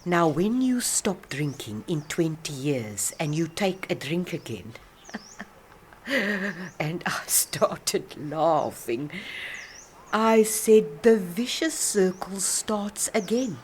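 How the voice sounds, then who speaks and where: Rambling older South African woman, lively pitch. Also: slight background noise. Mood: amused recounting. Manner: rambling, halting, reminiscing, defensive. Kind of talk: dramatic monologue, radio play.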